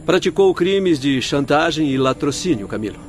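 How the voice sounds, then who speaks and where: An actor playing a composed doctor explaining gravely in a radio drama. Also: male voice, on the high side, lively intonation, moderate pace, soft background music, natural voice. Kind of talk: Brazilian radio drama acting.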